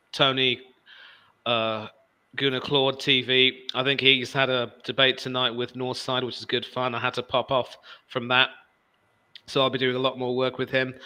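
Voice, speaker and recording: Loud, bright-voiced man in his forties. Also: medium pitch, clean tone. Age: 40s. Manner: loud, emphatic, talks over others. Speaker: guest pundit. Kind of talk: casual livestream chat.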